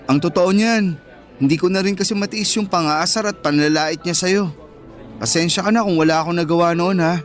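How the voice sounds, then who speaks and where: A man's voice: a little high, natural voice. A man playing a protective, fair-minded schoolboy in a Filipino radio drama, with an earnest, reassuring manner.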